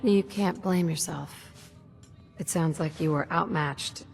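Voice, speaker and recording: An actress playing a concerned, supportive adventurer in a video game, plainspoken and conversational. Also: female voice, sits low, slow pace, gravelly, a little mellow, faint music behind, exaggerated voice. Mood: consoling. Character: concerned, supportive female adventurer player character. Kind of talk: video game character dialogue.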